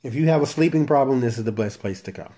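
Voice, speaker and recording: A man with a very soft, naturally muffled voice, chatting casually to his viewers as a tarot channel host, recorded on a phone at home. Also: medium pitch, noisy background. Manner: quiet, unhurried, never raises his voice. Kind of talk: casual vlog chat to viewers. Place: shared room at home. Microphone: cell phone camera.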